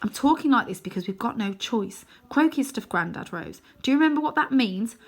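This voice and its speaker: A woman's voice: on the high side, lively intonation, fairly quick, breathy edge, pitched above the performer's own voice. A woman voicing an earnest young boy hero in a storybook, urgent and pleading.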